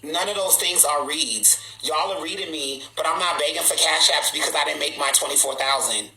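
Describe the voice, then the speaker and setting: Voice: medium pitch, fairly quick, pushed and bright, reverberant, faint music behind, poor audio. A livestream host, born in Trinidad and raised in Boston, talking bluntly and sassily to viewers. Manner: blunt, sassy, outspoken. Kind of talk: livestream rant answering viewers.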